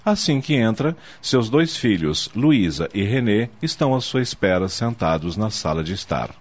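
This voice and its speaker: A man's voice: lowish voice, big pitch swings, normal speed. A man delivering scripted, dramatic narration for a radio soap opera. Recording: dull noisy audio with ambience.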